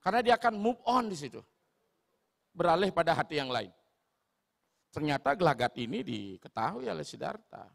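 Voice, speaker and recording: Gravelly Sulawesi-accented male preacher. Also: a little high, normal speed, long pauses. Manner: animated, switching into voices. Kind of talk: storytelling sermon. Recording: long hall reverb.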